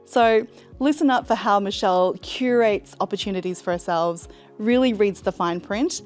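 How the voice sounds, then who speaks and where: A woman's voice: medium pitch, moderate pace, faint music behind. A woman hosting an interview podcast, introducing her guest and asking encouraging questions. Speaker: podcast host. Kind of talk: hosted interview.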